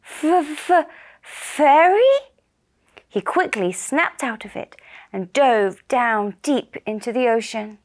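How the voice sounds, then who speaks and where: A woman telling a children's puppet story in a gentle, deliberate and soothing manner. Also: fairly high pitch. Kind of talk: slow narrated children's story.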